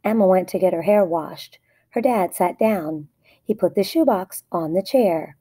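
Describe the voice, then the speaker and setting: A woman's voice: fairly low pitch, a little slow, warm tone, husky. A woman narrating a children's story aloud in short, plainly phrased sentences. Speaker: storybook reader.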